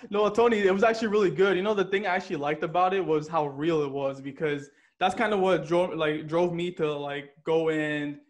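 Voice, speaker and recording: American male interviewer, friendly chatter, voice a bit higher than average. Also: a little fast, few pauses. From United States. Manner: chatty, laughs often, lots of "like" fillers. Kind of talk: casual interview.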